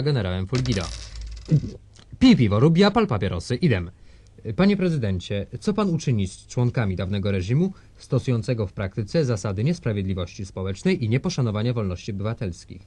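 Broadcast presenter for drama: male actor, mellow, formal, measured, faint background ambience. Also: mid-range voice, sing-song, slight background noise, lightly acted. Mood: formal questioning. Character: formal male broadcast newsreader and political interviewer. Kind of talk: scripted broadcast announcing in a drama.

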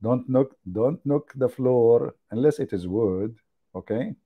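A man's voice: medium pitch, takes it slowly, slightly rough, phone-quality sound. A man hosting a livestream, joking and mocking as he talks and laughing at his own jokes. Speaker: online religious debate host. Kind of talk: casual livestream commentary.

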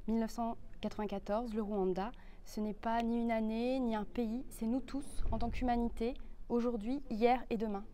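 A woman interviewer reading out her prepared questions in a measured, formal way. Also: on the high side, steady tone, dark tone, slight background noise.

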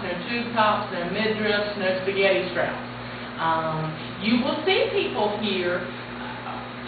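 A woman in her forties with a loud voice, talking plainly and frankly to a camera. The sound is thin and phone-like, caught from across a strongly echoing room with a clear background hiss. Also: medium pitch, distant mic. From United States. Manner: plain-spoken, frank, gesturing with her hands. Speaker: mission trip orientation leader. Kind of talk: spoken orientation talk to camera. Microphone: camera mic at a distance.

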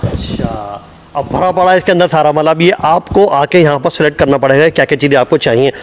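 A man's voice: fairly high pitch, fast. A man hosting a casual on-location promo walkthrough, chatty and repetitive. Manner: chatty, repeats "accha" and "dekhiye" often. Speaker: local news video host. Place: warehouse and roadside outdoors. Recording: noisy street and warehouse background.

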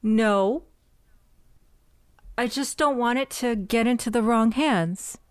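A quiet young witch from an audio drama, played by a woman, speaking in a fairly high voice at an unhurried pace. She sounds plain and earnest, and the recording is dry and close. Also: clear, resonant tone, no echo. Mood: stubborn. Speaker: shop heir and cousin.

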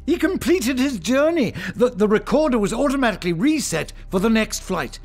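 An anguished professor in a sci-fi radio play, high in pitch for a male voice. He speaks in halting, emphatic bursts that swing widely up and down, over faint music. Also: very animated, exaggerated voice. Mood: excited realisation. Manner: halting, repeats himself, emphatic. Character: anguished, excitable male professor and protective father. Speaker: actor. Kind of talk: audio drama acting.

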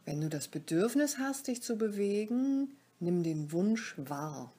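A woman leading a guided meditation as a yoga nidra teacher, speaking calmly and soothingly in short phrases with pauses, her voice soft, breathy and often close to a whisper. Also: mid-range voice.

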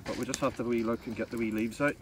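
A man giving quiet, offhand replies while making jam at a camp. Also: medium pitch, flat delivery, fast talker, distant mic, slight background noise. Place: outdoors at a camp.

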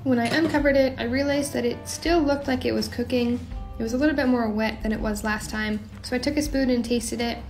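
A young woman with a slightly high voice walks through a recipe in a relaxed, chatty way, adding small asides as she goes. She sounds like she's recording in her own home kitchen, with soft music playing underneath. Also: a little fast. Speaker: home cooking show host. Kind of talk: casual cooking voice-over.

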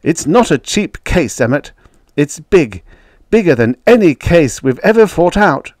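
A man's voice: on the high side, sing-song, a little mellow, no echo, voice pushed up. Storybook role: canny veteran attorney, played by a man, firm and dry, in a close dry recording. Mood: sober, firm. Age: older. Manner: dry, mocking, deliberate. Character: tall, fierce old defense lawyer, dry and shrewd.

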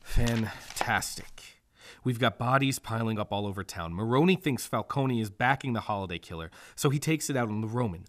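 A man playing a cynical, driven lawman with dark humor in a noir radio drama, wry in delivery. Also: on the low side, moderate pace, lightly acted. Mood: sardonic.

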